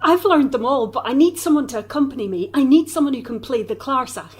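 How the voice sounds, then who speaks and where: A woman's voice: sits high, animated, fast talker. A woman playing an eager, plain-spoken young girl in an audio drama. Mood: earnest, hopeful.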